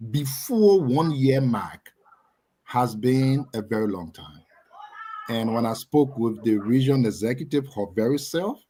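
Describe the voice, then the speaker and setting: A man's voice: mid-range voice, lively intonation, unhurried, warm tone, a little hiss or hum. A young adult man with a Liberian accent, co-hosting a casual live talk show and chatting with frequent laughs. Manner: talkative, laughs often. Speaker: co-host. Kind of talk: casual chat.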